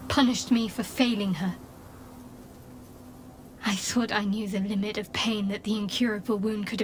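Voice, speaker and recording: Haunted young cleric woman, fairly high voice, halting and distressed fantasy game delivery. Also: a bit bright. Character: young outcast dark-goddess cleric woman, anguished and haunted. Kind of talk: video game dialogue acting. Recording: music behind the voice.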